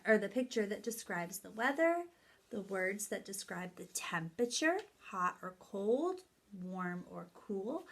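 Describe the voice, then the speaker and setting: A woman teacher leading a story time lesson for young children, explaining things step by step in simple phrases with key words stressed. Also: medium pitch. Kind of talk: children's lesson, read-aloud and activity instructions.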